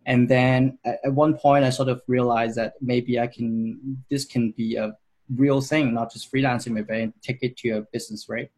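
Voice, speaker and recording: A man's voice: medium pitch, fairly even intonation, normal speed, a little mellow. A man in his thirties from Hong Kong, answering interview questions about his design career in a loose, rambling way with many fillers. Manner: rambling, frequent fillers and restarts.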